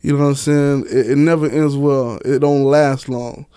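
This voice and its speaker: A man's voice: medium pitch, steady tone, normal speed, mellow, clear, resonant tone, no room sound. Male American interview guest, clean resonant tone, casual street-talk delivery, dry sound. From Miami, Florida, United States. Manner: emphatic, colloquial, peppers talk with "you know" and "man". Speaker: interview guest, author and stage play director. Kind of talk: casual interview chat, slangy.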